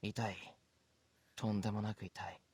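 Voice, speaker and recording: A man's voice: on the low side, flat delivery, brisk, darkish tone, breathy edge, no room sound, studio quality. A man playing an earnest teenage schoolboy hero in a Japanese visual novel, terse and strained, stoic under pain.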